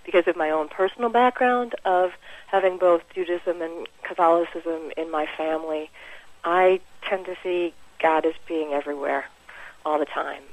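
A musician guest in a radio interview, speaking in a warm, reflective way and laughing easily. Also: mid-range voice, poor audio. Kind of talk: conversational interview.

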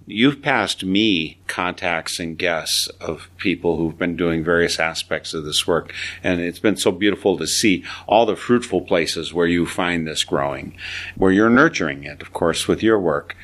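A man in his seventies hosting a radio program, asking questions and reflecting in a slow, deliberate way. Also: low voice, a bit bright. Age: 70s. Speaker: radio show host.

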